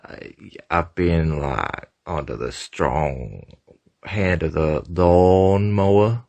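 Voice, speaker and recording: A man voicing a rambling oddball for a sketch, with a gravelly, husky voice and halting, repetitive delivery. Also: low-pitched, darkish tone, dull audio, exaggerated voice. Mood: rambling, hesitant.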